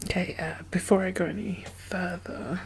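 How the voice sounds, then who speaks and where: A woman playing a gentle, chatty medic in a zombie apocalypse ASMR roleplay, speaking softly and hesitantly with a reassuring manner. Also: on the low side, steady tone, moderate pace, vocal fry, slight background noise. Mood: gentle concern. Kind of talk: soft-spoken, whispered ASMR roleplay.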